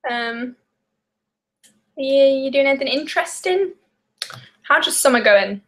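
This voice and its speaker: A woman's voice: high-pitched, animated, a little slow, fairly bright tone, slight background noise. A young woman from the English Midlands with a Midlands accent, chatting casually and rambling on a live stream while baking, with lots of fillers and giggles. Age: young adult. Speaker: vlogger.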